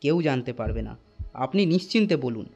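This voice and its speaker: A man voicing a concerned, stern father of a young girl in an animated ghost story, speaking in a firm, questioning way. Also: medium pitch, a little fast, gravelly, dull audio, faint music behind, pitched below the performer's own voice. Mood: earnest, polite.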